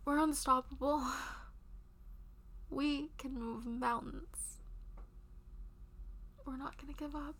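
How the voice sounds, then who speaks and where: A woman's voice: sits high, steady tone, slow pace, pauses often, a bit bright, heavily acted. A woman playing a devoted, tender lover in an intimate audio roleplay, speaking breathily and reassuringly and often dropping toward a whisper. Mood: earnest, determined. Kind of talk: intimate one-sided romantic audio roleplay.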